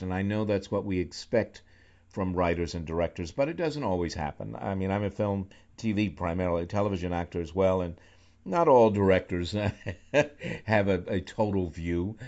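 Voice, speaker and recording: Brief: rambling talk radio host, man, a bit low, muffled lo-fi sound. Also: darkish tone. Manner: rambling, thoughtful, many restarts. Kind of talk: radio interview, conversational questions. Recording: slightly dull lo-fi radio sound.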